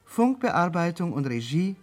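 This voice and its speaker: A woman reading radio play credits as a formal, measured, scripted announcement. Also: on the low side, sing-song, faint music behind.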